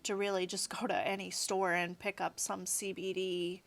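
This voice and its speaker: A woman's voice: on the low side, level tone, moderate pace, gravelly, a bit bright. Chatty woman in her thirties. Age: 30s. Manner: chatty, rambling, fills with "like" and "you know". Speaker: guest. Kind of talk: casual interview chat.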